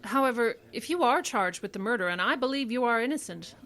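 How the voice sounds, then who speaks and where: A determined female defense attorney played by a woman from an old-time radio play. Her voice sits fairly high. She speaks firmly and insistently, loyal to her client. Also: animated, fast talker, fairly bright tone. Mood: earnest. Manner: firm, insistent.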